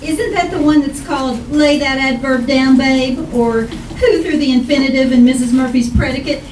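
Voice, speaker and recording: Woman as a high-voiced, dim, chatty household helper. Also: normal speed, darkish tone, natural voice. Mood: helpful. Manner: earnest, rambling, literal-minded. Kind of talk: 1940s radio comedy acting. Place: theater stage before an audience. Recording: echoey live stage, distant mic, noise.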